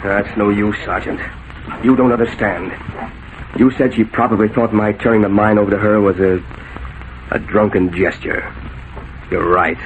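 An actor playing a broken-down, resigned middle-aged saloon owner, halting in speech, in a 1940s radio drama on a tinny recording. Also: male voice, fairly low pitch, steady tone, a little hiss or hum, natural voice. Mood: bitter, resigned.

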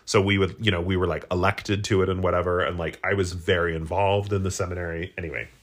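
Low-voiced man losing his voice. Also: level tone, quick pace. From Utah. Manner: candid, profane, storytelling. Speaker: podcast co-host. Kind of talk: casual chat.

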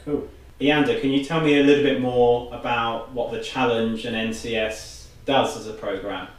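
A man's voice: medium pitch, steady tone, moderate pace. A man working as a podcast interviewer, asking questions in a casual group chat. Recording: echoey room, distant from mic.

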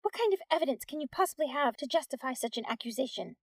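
A woman narrator acts out a lady schoolteacher from an audiobook novel. Her voice sits high and has a musical lilt. She comes across as flighty yet indignant, ready to turn curt. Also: brisk, darkish tone, dry recording. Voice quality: musical, lilting. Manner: curt when challenging.

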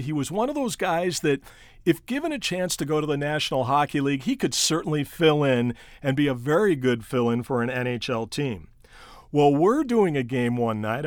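A man's voice: on the high side, no echo, studio quality. A middle-aged American man hosting an instructional podcast in an emphatic, coaching way, speaking straight to the listener like a practiced sports broadcaster. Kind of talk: prepared instructional podcast narration with personal anecdotes.

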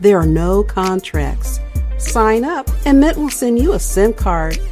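American woman, advert read, mellow warm tone, lively pitch movement, soft music behind. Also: slow pace. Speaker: advert announcer. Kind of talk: scripted ad read.

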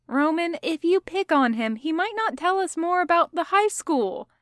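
A woman voicing a gentle, innocent schoolboy with a mild, earnest manner in an audiobook story. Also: extremely high pitch, lively intonation, moderate pace, dry recording, clean studio sound, voice pushed up.

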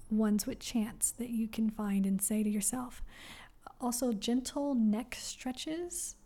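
A young adult woman hosting a solo wellness podcast, speaking softly and gently with many pauses, encouraging the listener. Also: mid-range voice. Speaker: podcast host.

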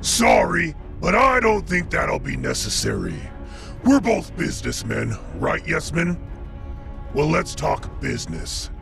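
Swaggering, gravelly cartoon villain boss. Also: male voice, a little high, big pitch swings, a little slow, over music, full character voice. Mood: smug, scheming. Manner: taunting, theatrical. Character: swaggering, mocking male villain boss, theatrical and scheming.

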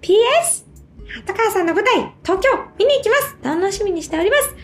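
A woman's voice: extremely high pitch, expressive, brisk, faint music behind. A woman with a Kansai accent chatting casually as co-host of a talk show. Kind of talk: casual chat.

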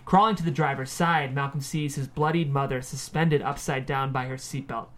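A man's voice: mid-range voice, steady tone, moderate pace, fairly bright tone. A man reading screenplay stage directions as scripted narration at a table read.

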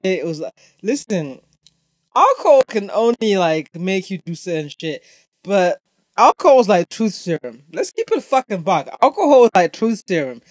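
A man's voice: sits high, no echo. This is a young man from Zimbabwe hosting his own podcast. He talks in a casual, opinionated rant full of slang and swearing, and he drives points home by repeating them. The sound is dry, with very little room around his voice. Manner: emphatic, repetitive, heavy swearing. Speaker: podcast host.

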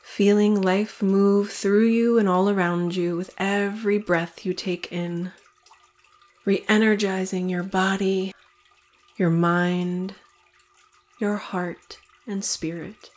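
A woman leading a guided meditation, speaking in a soothing manner with long pauses between phrases. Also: mid-range voice, steady tone, a little mellow. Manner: slow, soothing, evenly paced with long pauses.

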